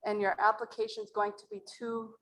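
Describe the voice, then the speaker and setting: Low-voiced woman from Mora. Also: flat delivery, brisk, fairly bright tone, a little hiss or hum, low-quality recording. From Mora, New Mexico. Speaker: acequia association representative. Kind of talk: speaking at a community meeting. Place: library community center meeting room.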